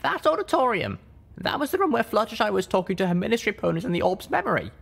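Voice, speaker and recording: A man voicing an earnest, anxious young female unicorn pony who speaks in halting, hesitant bursts. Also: high-pitched, very animated, creaky, fairly bright tone, husky, voice pushed up, full character voice. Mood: worried.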